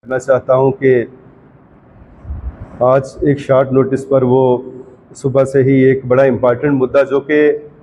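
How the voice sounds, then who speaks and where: High-pitched, mellow man at a press conference. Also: slight background noise, rough recording. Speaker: political party speaker at press conference. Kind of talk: press conference statement, mixing Hindi and English.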